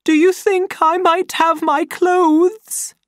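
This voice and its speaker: A young woman's voice, high-pitched and trembling with fear, as a female narrator puts her on. It sits far above the reader's own voice and comes through close and dry. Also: big pitch swings, slow pace, dry recording. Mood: frightened pleading.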